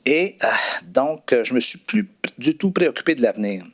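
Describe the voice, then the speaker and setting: A man's voice: mid-range voice, big pitch swings, normal speed. A middle-aged man, a preacher, telling his personal story in an interview, reflective and hesitant with frequent fillers and restarts.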